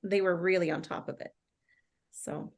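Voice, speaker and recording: A woman's voice: fairly low pitch, steady tone, normal speed, creaky. A woman in a casual group discussion, thinking aloud in a tentative way. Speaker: discussion participant.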